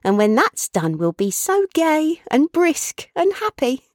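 Storybook role: devoted young daughter acted by a female narrator, fond, soothing, playful, pitch very animated. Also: a little slow, no room sound, studio quality. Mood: tender, soothing. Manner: soothing, fond, gently teasing. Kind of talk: storybook character voice.

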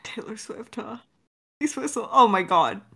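Podcast co-host: playful woman with a bright tone and lively intonation, casual, slangy delivery. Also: a little high, a little slow, vocal fry, slightly rough. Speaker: podcast co-host, audio drama creator. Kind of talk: casual chat, answering listener questions.

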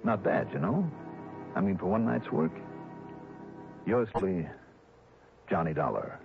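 An actor playing a hardboiled, wry American private investigator in a mid-century radio detective drama, cool and clipped. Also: male voice, low voice, animated, a little slow, creaky, full character voice. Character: hardboiled, quick-witted male private investigator. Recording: muffled old radio broadcast with music.

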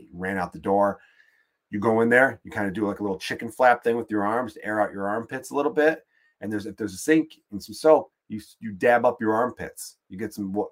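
Man, Chicago accent, podcast host, comedic rant, profane, exasperated, rambling, lowish voice. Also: husky.

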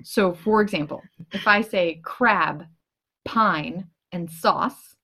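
A woman's voice: fairly low pitch, takes it slowly, bright, forceful tone. A woman hosting a casual conversation, playfully quizzing her guests. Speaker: podcast host. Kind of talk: casual interview chat.

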